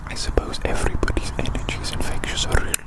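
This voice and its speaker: ASMR roleplayer, fully unvoiced breathy whisper, fussy playful caretaker with soothing, repetitive delivery. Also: racing. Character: playful fussy caretaker grooming a little creature.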